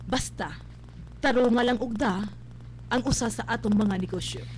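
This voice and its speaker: A woman's voice: on the high side, normal speed, natural voice. A woman playing a composed, practical middle-aged mother in a radio drama, firm and reassuring, with a Cebuano Filipino accent. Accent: Filipino, Cebuano-speaking. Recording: faintly noisy live radio capture.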